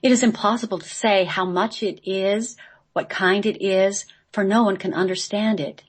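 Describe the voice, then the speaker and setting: A woman's voice: medium pitch, fairly even intonation, moderate pace, husky. A woman reading a scripted mystical text aloud in a solemn recitation, as a guest on a radio show.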